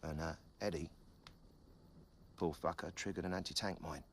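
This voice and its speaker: An actor playing a foul-mouthed soldier who tells a gruesome war story in a low, hushed voice with dark humour. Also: male voice, fairly even intonation, moderate pace, slight background noise, natural voice. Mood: grim. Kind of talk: film dialogue. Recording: film soundtrack with a music score behind.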